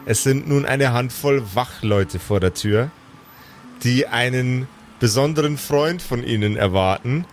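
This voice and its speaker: A man's voice: mid-range voice, measured pace, a little hiss or hum. A man narrating an improvised tabletop role-play as game master.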